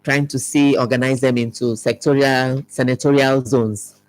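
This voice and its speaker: Measured official, halting and careful. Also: mid-range voice, steady tone, low-quality recording. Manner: measured, many ums and restarts. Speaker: education official being interviewed. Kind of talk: radio-TV interview answers.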